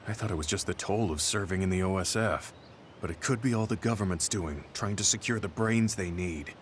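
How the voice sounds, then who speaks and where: Low-voiced stoic soldier played by a man. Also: slight background noise, natural voice. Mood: grimly resolute. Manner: serious, reserved, measured. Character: stoic, earnest male veteran soldier, quietly grieving and resolute. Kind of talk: English video game voice acting. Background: soft background music.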